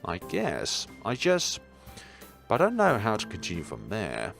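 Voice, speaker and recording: Man as unsure, awkward high school boy in a visual novel, low voice, hesitant delivery, faint music. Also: huge pitch swings, a little slow, vocal fry, a bit bright, full character voice. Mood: hesitant, uneasy. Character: awkward, unsure teenage male student, self-conscious. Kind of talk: visual novel dialogue read aloud.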